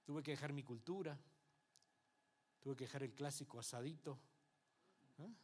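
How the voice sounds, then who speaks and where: Uruguayan pastor preaching conversationally. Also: male voice, medium pitch, normal speed, breathy edge. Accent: Uruguayan Spanish with some Mexican influence. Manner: conversational preaching with frequent rhetorical checks and acted-out quotes. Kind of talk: Bible class sermon to a congregation. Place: church.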